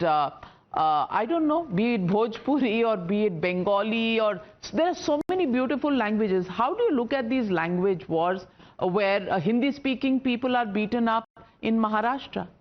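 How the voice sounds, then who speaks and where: A woman news anchor asking a guest pointed, probing questions in an interview. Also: mid-range voice. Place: Delhi studio. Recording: dull lo-fi broadcast audio.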